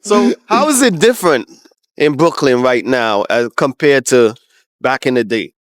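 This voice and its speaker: A man's voice: mid-range voice, animated, measured pace, dry recording, clean studio sound. A man interviewing people on the street, asking brief questions and answering with short affirmations.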